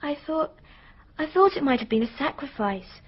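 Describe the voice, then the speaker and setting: A woman's voice: sits high, lively intonation, moderate pace. An actress playing an earnest young girl witness in a radio drama, confiding what she knows in a hesitant way. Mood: innocent confiding. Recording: dull, muffled radio drama recording.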